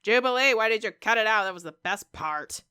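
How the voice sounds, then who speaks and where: A woman talks in a casual vlog style, with a clear, smooth voice at a medium pitch. She rambles conversationally to her viewers, leaning on certain words in emphatic little asides. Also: animated, fairly quick, pushed and bright. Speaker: YouTuber and game streamer.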